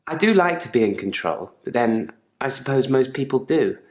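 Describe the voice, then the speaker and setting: A man acts out an unsettling male patient confiding in his therapist in a game drama. His mid-range voice is measured and self-controlled, politely probing with a quiet menace. The room around him rings with noticeable echo. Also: medium pitch, lively intonation, natural voice. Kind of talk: live-action game drama monologue.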